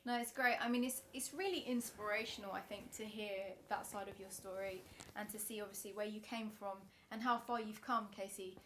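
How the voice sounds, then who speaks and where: A woman's voice: mid-range voice, fairly even intonation, brisk, hardly any pauses, a bit bright, a little hiss or hum. A woman hosting an interview, upbeat and full of "kind of, like" fillers.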